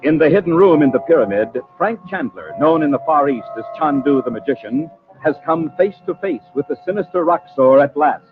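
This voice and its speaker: Animated American man announcing on old-time radio. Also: a little high, brisk. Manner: polished, emphatic, dramatic salesmanship. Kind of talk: 1940s radio announcing and scripted narration. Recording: tinny old radio broadcast, music behind.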